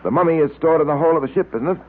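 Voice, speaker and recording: An actor playing a brisk, blunt, level-headed detective in an old radio drama, with a clipped, authoritative manner. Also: male voice, on the high side, close to an everyday voice. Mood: stern, blunt. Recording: tinny old radio recording, light noise.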